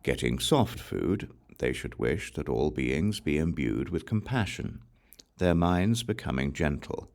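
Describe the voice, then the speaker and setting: A man reading scripture aloud as an audiobook narrator, in a measured, solemn recitation. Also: a bass voice, lively intonation, vocal fry, darkish tone. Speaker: audiobook reader.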